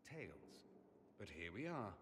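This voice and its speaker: A witty, self-admiring mage for a fantasy video game. His speech is arch and sardonic, with lively swings of pitch. The voice rings in a long, reverberant hall. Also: measured pace, a little hiss or hum. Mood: wry, grave. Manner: theatrical, arch, sardonic delivery. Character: witty, vain mage from a fantasy empire, wryly self-aware. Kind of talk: dramatic video game dialogue.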